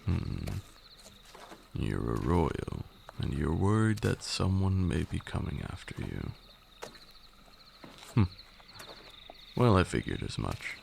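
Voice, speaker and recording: A man playing an ancient, haughty vampire lord in an ASMR roleplay, a condescending mentor with formal, archaic speech and a gravelly voice. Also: takes it slowly, pauses often, heavily acted. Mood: knowing, teasing. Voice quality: very deep, gravelly. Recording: steady noise with night insects. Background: crickets and night insect ambience.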